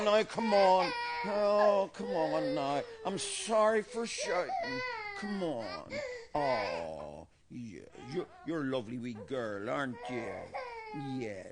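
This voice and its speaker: A paranoid old Belfast grandfather. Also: high voice. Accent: Northern Irish, Belfast. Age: older. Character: paranoid bigoted old grandfather, defensive and unhinged. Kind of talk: comedy sketch acting.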